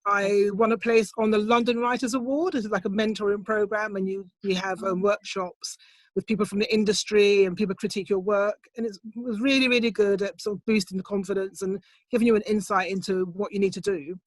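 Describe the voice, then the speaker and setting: A middle-aged woman speaks over a video call in a measured, thoughtful way, often pausing to gather her words. Her laptop mic sounds dry, with no room around her. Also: medium pitch, steady tone, dry recording, rough recording. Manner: thoughtful, measured, with soft hesitations. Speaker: poet, competition winner. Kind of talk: online interview, reflective answers. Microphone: computer mic on a video call, set quiet.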